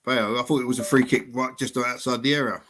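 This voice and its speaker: A man commentating on a live football watchalong, briskly steering the talk and reporting the play. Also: medium pitch, fairly even intonation, fairly bright tone, slight background noise.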